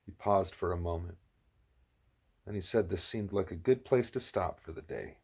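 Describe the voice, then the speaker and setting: A man's voice: low-pitched, normal speed, vocal fry, a little mellow, slightly rough. A man reading a first-person story as scripted audiobook narration. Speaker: audiobook reader.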